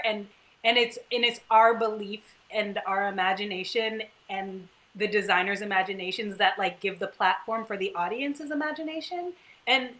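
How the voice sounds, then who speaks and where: Bright-voiced woman, earnest and emphatic. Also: mid-range voice, poor audio. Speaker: actor on a panel. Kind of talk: casual reflective panel talk.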